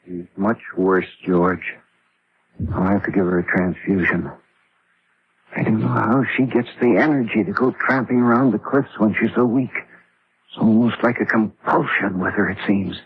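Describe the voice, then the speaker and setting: Man playing a stern, low-voiced outpost doctor. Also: steady tone, normal speed, lightly acted. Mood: grave concern. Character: stern, practical male doctor at a remote outpost. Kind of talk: old time radio drama acting. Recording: dull, faintly noisy old radio recording.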